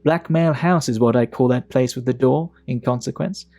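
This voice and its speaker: Creaky, mellow Victorian gentleman, played by a man. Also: medium pitch, soft background music, natural voice. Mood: scornful, gossipy. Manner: measured, gossipy, fastidious storytelling. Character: Richard Enfield, worldly, talkative Victorian English gentleman. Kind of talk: audio drama acting.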